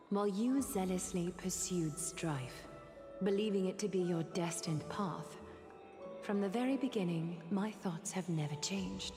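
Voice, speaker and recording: A woman plays a serene, queenly leader in a fantasy game. Her lowish voice is measured and solemn, echoing with long reverb as music swells clearly behind it. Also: lightly acted. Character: serene, regal female leader giving prophetic farewell. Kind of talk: dramatic video game monologue.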